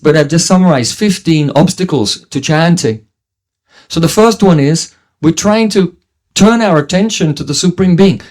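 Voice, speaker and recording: A middle-aged man from New Zealand teaches a devotional class in a fairly high voice. He speaks earnestly, restarts his sentences often and breaks into chanted Sanskrit verses. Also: a little mellow. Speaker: spiritual teacher. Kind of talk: devotional lecture.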